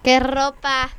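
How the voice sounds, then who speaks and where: A woman's voice: sits high, a bit bright, full character voice. A woman with a Rioplatense accent improvising as a nosy, suspicious young friend, insistent and chatty, in a drama exercise. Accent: Rioplatense Spanish. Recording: clearly noisy amateur recording with clicks.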